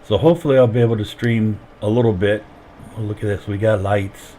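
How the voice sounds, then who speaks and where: A man's voice: fairly low pitch, fairly even intonation, a little fast, dark tone, a little hiss or hum, poor audio. Sick male streamer on a headset. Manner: explains steps as he plays. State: sick, losing his voice. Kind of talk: casual gameplay commentary.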